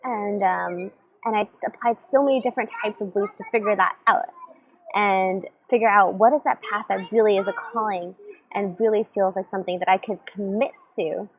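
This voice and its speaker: A woman's voice: medium pitch, steady tone, moderate pace, a little hiss or hum, low-quality recording. A young woman, a coach, talking casually to camera in a chatty way with many ums, restarts and laughs. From Taiwanese-Singaporean family, raised across Germany, Asia and California. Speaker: coach and summit speaker.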